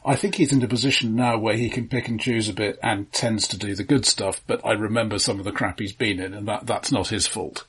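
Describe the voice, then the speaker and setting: Man discussing films, slightly husky voice. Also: lowish voice, steady tone, brisk, few pauses, a bit bright. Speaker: podcast co-host. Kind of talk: casual film discussion.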